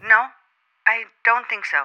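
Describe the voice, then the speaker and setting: A woman's voice: a little high, unhurried, pushed and bright. An actress playing a polite young woman in a 1950s radio drama, speaking through a telephone filter. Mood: polite, grateful. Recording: tinny filtered phone line, vintage radio.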